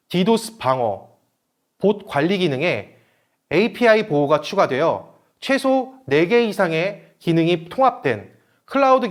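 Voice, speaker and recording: Male seminar presenter, high register, animated intonation, formal scripted technical delivery.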